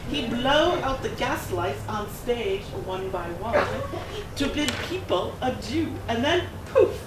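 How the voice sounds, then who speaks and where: Woman author, animated high read-aloud voice; distant, reverberant gallery recording with background noise. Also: slow pace. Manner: expressive rhyming delivery with bouncy emphasis. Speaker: children's book author. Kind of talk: dramatic picture-book read-aloud. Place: art gallery event room. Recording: echoey room, distant, noisy.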